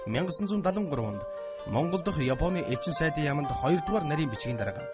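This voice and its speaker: A male announcer reading a scripted biography in a steady list of dates. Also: a little high. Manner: reads a list of dates quickly. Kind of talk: scripted narration. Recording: loud music underneath.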